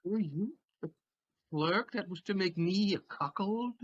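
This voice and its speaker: A man playing a brash, bawdy husband in a Shakespearean audio play, blustering with comic outrage in a rough, husky voice. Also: high voice, lively intonation, unhurried, muffled recording. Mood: indignant.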